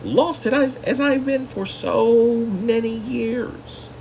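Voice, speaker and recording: A man voicing a lost, exasperated mother in a story, shaky but defiant, slow and emphatic. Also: extremely high pitch, vocal fry, a little hiss or hum, voice pushed up, exaggerated voice. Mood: defiant despair. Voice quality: shaky vibrato rising toward a shout.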